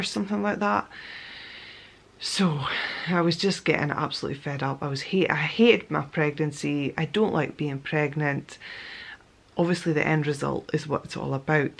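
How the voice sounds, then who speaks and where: A young woman with a croaky voice, casually telling her story to the camera in a chatty way, with many ums and self-corrections. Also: lowish voice. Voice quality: gravelly. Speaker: vlogger.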